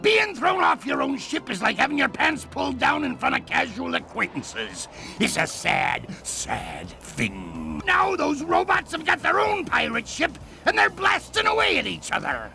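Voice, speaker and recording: A man voicing a blustering, melodramatic old ghost pirate captain in a cartoon game, gravelly and theatrical. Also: very high voice, huge pitch swings, unhurried, hardly any pauses, bright, forceful tone, soft background music, exaggerated voice. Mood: disturbed bluster. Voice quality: gravelly, creaky. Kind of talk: cartoon game character acting.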